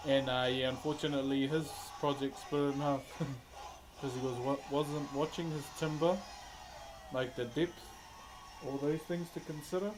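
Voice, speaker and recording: A man's voice: medium pitch, steady tone, moderate pace, rough recording. A man with a New Zealand accent, a wood carver chatting casually with live stream viewers in a laid-back, chuckling, slangy way. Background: background music.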